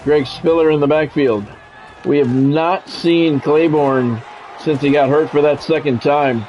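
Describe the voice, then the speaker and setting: Male game streamer, slightly high-set voice, football play-by-play with casual grumbling asides, faint music underneath. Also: steady tone, unhurried, a little mellow, muffled recording. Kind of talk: sports play-by-play commentary mixed with casual stream chat.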